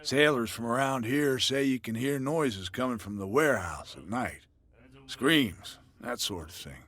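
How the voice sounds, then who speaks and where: A guarded tavern keeper in a video game. His voice is mid-pitched and rough, and he talks in a cagey, matter-of-fact way. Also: expressive, a little slow, a bit bright. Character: wary, guarded male barkeeper passing on local rumors. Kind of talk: video game cutscene dialogue.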